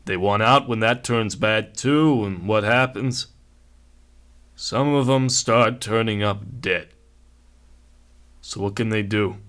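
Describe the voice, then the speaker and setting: A man's voice: mid-range voice, sharp tone. An actor playing a disillusioned, brooding male security chief with an emphatic manner in a radio drama.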